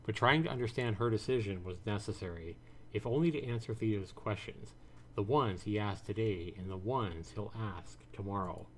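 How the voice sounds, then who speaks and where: A man's voice: lowish voice, moderate pace, slight background noise. A man, a game streamer, reading the narration of a visual novel aloud. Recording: music behind the voice. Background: guitar music.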